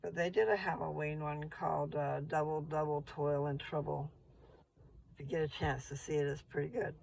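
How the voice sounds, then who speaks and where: An older woman with a rough, gravelly voice, rambling slowly and chattily as she vlogs from her parked car. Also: low-pitched, little pitch movement, a little mellow, rough recording. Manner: meandering, slow, chatty. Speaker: vlogger. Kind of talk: rambling casual vlog.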